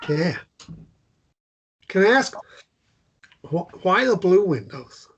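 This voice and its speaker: A man playing an awkward, gently joking American man in love in a naturalistic romance drama read, hesitant and stumbling over his words. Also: high voice, very animated, slow, husky, dull audio, heavily acted. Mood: warm.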